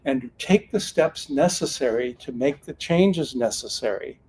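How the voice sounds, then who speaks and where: Older guy from northern california, folksy and earnest, keeps restarting, middle pitch. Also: lively intonation, normal speed, a little mellow, breathy edge. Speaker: retired sheriff's officer turned card reader. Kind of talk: casual storytelling talk.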